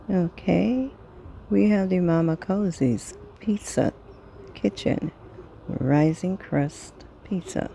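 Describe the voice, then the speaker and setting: Slow, mellow-voiced woman with creaky tone. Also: fairly low pitch, a little hiss or hum. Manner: unhurried, item-by-item, pausing between phrases. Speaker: vlogger reading a grocery sales flyer. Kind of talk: casual product read-through.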